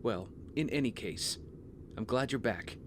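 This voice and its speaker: A man playing a tender, protective young vigilante in an audio drama, speaking gently and with concern. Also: mid-range voice, lively intonation, measured pace, natural voice. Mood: gentle, teasing. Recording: clear background noise and ambience.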